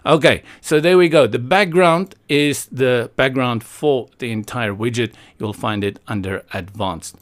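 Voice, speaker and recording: A man from South Africa presents a casual software tutorial in an opinionated, playful way, thinking aloud as he goes. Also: on the low side.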